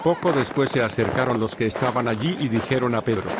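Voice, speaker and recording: A man narrating a dramatized scripture reading in a steady, formal storytelling manner. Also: medium pitch, quick pace, background noise.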